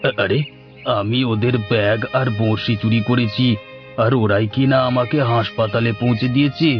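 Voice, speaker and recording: A man's voice: medium pitch, steady tone, normal speed, natural voice. Sheepish village thief uncle acted by a man, lo-fi. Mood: remorseful. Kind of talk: cartoon voice acting. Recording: dull lo-fi audio with music.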